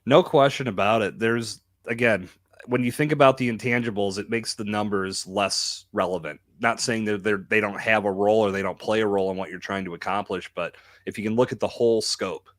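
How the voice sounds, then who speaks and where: A man's voice: on the low side, fairly even intonation, brisk, no room sound. A man hosting a live podcast, talking in a candid, emphatic, colloquial way into a close microphone. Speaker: podcast host. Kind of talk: live conversational podcast talk.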